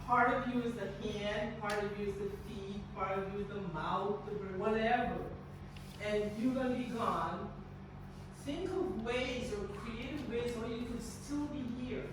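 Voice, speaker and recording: An earnest woman from Guyana coaches hospital staff, encouraging and often restarting her phrases. Her voice sits at a middling pitch and sounds far from the microphone in a very reverberant room. Steady background noise runs underneath. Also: unhurried. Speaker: staff trainer. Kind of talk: workplace training talk with faith themes. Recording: very echoey, distant, noisy room recording.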